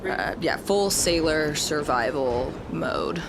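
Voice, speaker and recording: A woman with a low-pitched voice plays a tabletop game, casually explaining her character's moves. There is clear rumbling noise in the background. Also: lively intonation, takes it slowly. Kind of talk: casual, improvised game chat.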